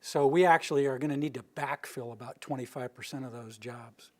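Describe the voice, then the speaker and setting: An older man near retirement, from the Rocky Mountain region, giving an informal public presentation in a meeting room with a steady, monotone delivery. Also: mid-range voice, brisk. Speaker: utility planning director giving a public presentation. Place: meeting room with a live audience.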